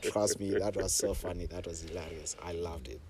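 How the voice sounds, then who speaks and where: A young man from Zimbabwe, a safari camp host, telling his story in a relaxed way with frequent fillers, interviewed outdoors on a camp deck. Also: low-pitched, fairly quick, a loud crowd. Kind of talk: conversational interview.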